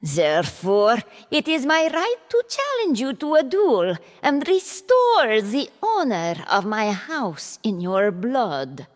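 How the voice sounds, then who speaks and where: Proud, cold noblewoman duelist played by a woman, imperious and slow, ringing in a long hall reverb over music. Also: on the high side, sing-song. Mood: imperious, threatening. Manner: formal, imperious, measured delivery. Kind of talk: fantasy audio drama acting.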